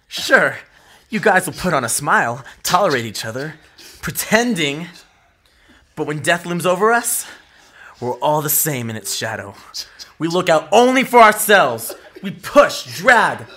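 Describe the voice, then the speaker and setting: A man's voice: a little high, very animated, measured pace, sharp tone, full character voice. An actor playing a cruel, cynical male captor in an audio drama, preaching at and mocking his victims with menace. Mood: contemptuous, ranting.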